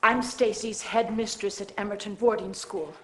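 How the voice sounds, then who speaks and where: A woman's voice: mid-range voice, fairly even intonation, moderate pace, natural voice. A woman playing an anxious, defensive boarding school headmistress in a video game. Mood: worried. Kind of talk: FMV game acting.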